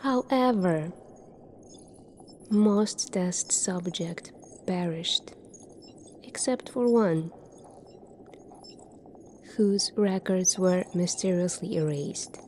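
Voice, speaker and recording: Slow, soft-spoken woman in ASMR doctor roleplay. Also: fairly low pitch, a little hiss or hum. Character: calm, secretive futuristic military doctor.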